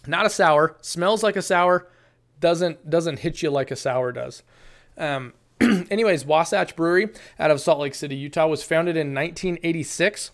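A man in his thirties talks casually to his camera about a beer, wandering off topic and filling his pauses with ums. His voice is smooth and clear and sits a little high for a man. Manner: relaxed and rambling, many ums and restarts. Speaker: beer review vlogger. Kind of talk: casual solo review. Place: small room, indoors, green screen. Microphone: desk camera, framed from the chest up.

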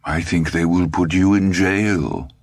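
Guy reading as creepy old man in his 70s, slow and calm, low voice, dry close sound. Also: no room sound. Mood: menacing. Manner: slow, measured, coldly patient. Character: sinister old man, patient and menacing.

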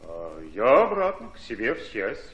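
An actor playing a humble, dogged wounded Red Army private in a radio play, simple and folksy. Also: male voice, medium pitch, wildly swooping pitch, normal speed, muffled recording. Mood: dogged, weary.

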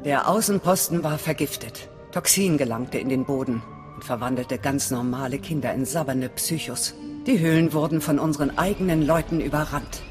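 A woman's voice: deep voice, normal speed, big-room sound, over music, lightly acted. An actress playing a hard, suspicious female resistance leader in a video game, curt and commanding. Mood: commanding, grim. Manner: stern, clipped, commanding. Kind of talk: dramatic video game acting.

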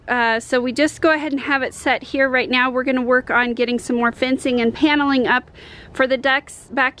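Middle-aged American woman, high bright voice, enthusiastic casual vlog host, recorded on a handheld camera. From Colorado, United States. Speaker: homesteading vlogger and host. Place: outdoors in a yard, later in a parked or moving car. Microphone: camera at arm's length.

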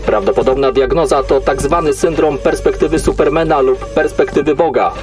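A man's voice: a little high, a little fast, natural voice. Man acting cold, clipped military AI over music. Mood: cold, detached. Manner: clipped, emotionless, formal status reports. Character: cold logical military AI controlling a soldier's body. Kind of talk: sci-fi audio drama acting.

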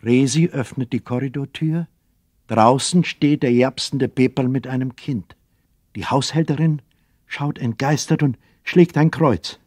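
A man narrating a radio play as a scripted story, with measured, literary delivery. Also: medium pitch, a little mellow, no room sound, clean studio sound.

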